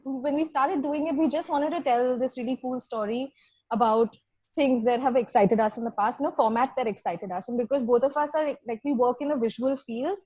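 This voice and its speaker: A woman chatting casually as a podcast guest, telling stories in an animated way. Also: sits high, fairly quick, slightly rough, no room sound. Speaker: podcast guest, fiction podcast creator. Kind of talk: casual chat. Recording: dull, lo-fi close dry audio.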